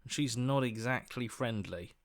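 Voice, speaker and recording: A man's voice: medium pitch, moderate pace, natural voice. A man playing an earnest, hopeful hero's inner voice in a video game, questioning and pleading as he talks. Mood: wary.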